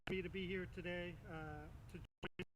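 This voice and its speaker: A man from New York State speaking as a Coast Guard captain at a ceremony, semi-formal and halting, with pauses. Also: sits high, flat delivery, heavy background noise. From East Hampton, New York. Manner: halting, frequent pauses and throat clearing.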